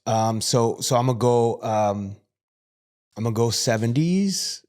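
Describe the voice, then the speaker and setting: An American man hosting a casual interview, eager and quick to agree, leading into questions. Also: mid-range voice, animated, a little mellow. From United States. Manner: eager, quick to agree, frequent backchannel affirmations. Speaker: podcast host.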